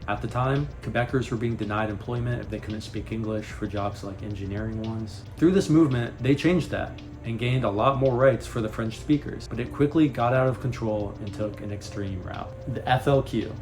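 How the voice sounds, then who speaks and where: A man vlogging and narrating in a casual, explanatory way, with playful deadpan humour. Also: on the low side, fairly quick, hardly any pauses, faint music behind.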